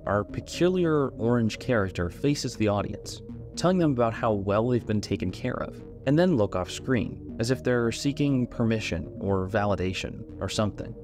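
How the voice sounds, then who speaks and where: A man hosting a video essay, giving scripted narration and commentary. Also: fairly low pitch.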